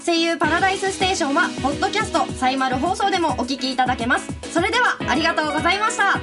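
A woman's voice: very high-pitched, expressive, rapid-fire. A woman hosting a radio show, presenting in a scripted, polite announcing style. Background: music.

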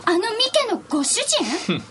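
A woman playing a spirited, feisty teenage girl in a radio drama, sharp and exclamatory. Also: high voice, huge pitch swings, normal speed, a little hiss or hum. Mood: surprised, curious. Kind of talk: Japanese anime-style radio drama acting.

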